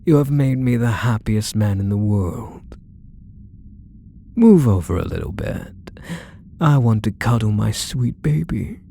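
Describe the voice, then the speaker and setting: A man playing a possessive, doting stalker husband in an intimate ASMR roleplay, speaking softly with coaxing, condescending endearments. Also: on the low side, lively intonation, unhurried, vocal fry, a little hiss or hum. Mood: tender, possessive. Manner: soft, coaxing, condescending endearments, lingering phrases. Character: possessive, doting male yandere stalker husband, sweetly menacing.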